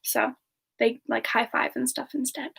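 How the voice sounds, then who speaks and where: A woman playing a sweet, affectionate young female frost demon, soft-spoken and hesitant, answering questions in a semi-ASMR live stream. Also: high voice, fairly even intonation, normal speed, gravelly, slightly rough, heavily acted. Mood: gentle, chatty.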